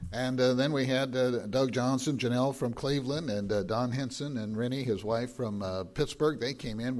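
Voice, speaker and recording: An older man, a pastor, with a slightly raspy voice, talking to his congregation in a rambling way, with many pauses and filler words. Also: mid-range voice. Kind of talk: sermon announcements.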